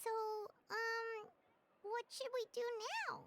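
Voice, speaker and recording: A woman voicing a tiny, excitable childlike fairy companion in an anime video game, squeaky and quick to take offence. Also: slight background noise, heavily acted. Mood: dejected.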